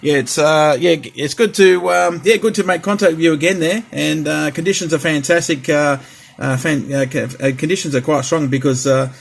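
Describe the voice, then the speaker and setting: An Australian man chatting casually and haltingly as a hobby radio operator, often repeating himself. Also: a little high. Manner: halting, repetitive. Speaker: CB radio operator demonstrating his equipment. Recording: clear background hiss and radio noise.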